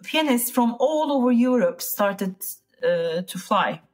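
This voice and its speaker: Slow-talking woman with a Turkish accent. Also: fairly high pitch, expressive. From Turkey. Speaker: interview guest, piano teacher. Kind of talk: casual interview talk.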